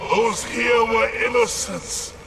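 An actor playing a tormented, gravelly madman in a dark fantasy video game, anguished and theatrical. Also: extremely high pitch, steady tone, slow pace, heavy background noise, exaggerated voice. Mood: lamenting.

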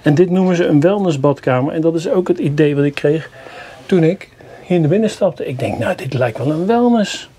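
A man's voice: fairly high pitch, expressive, a little fast, dark tone. Chatty man touring a camper. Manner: chatty, enthusiastic, mildly grumbling. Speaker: vlogger reviewing campers. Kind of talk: casual walkthrough vlog. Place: inside a motorhome at a product show. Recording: noisy camera audio.

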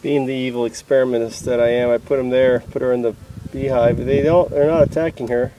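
A man quietly narrating to himself while filming beehives outdoors on a handheld camera. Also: medium pitch, steady tone, fairly quick, dull audio, background noise. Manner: soft-spoken, musing aloud to himself. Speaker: beekeeper vlogger. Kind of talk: casual running commentary while filming. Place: outdoors at a bee farm. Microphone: handheld camera mic. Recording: outdoor camera audio with insects buzzing. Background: bees buzzing and rustling grass.

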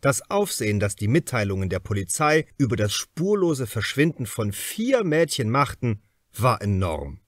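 Expressive male audiobook narrator. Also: medium pitch, normal speed, no echo, studio quality. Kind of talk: scripted literary narration of a crime novel.

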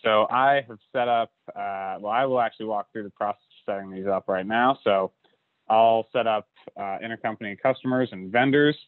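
A man giving a relaxed screen-recorded software walkthrough, with lots of uh and you know. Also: on the low side, moderate pace, dry recording. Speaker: software instructor. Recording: dry tinny lo-fi audio.

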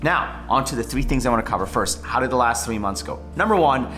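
A man's voice: medium pitch, soft background music. A man in his thirties from Bangladesh, raised in New York, giving an enthusiastic weekly vlog update to camera from his home studio. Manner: upbeat, enthusiastic, quick lively delivery. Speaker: vlogger, weekly channel host. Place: home studio living room indoors.

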